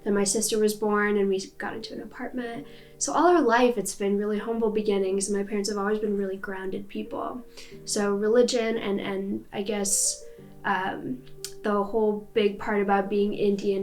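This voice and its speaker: A woman's voice: medium pitch, darkish tone. Chatty young American woman. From United States. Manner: chatty, many fillers like "um" and "like". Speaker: storyteller. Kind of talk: personal story, casual talk.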